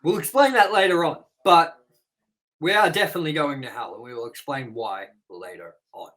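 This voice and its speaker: A young man hosts a casual review podcast with crude jokes and a steady stream of swearing. His voice sits a little on the high side. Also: moderate pace. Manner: crude, joking banter with frequent swearing. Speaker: podcast host.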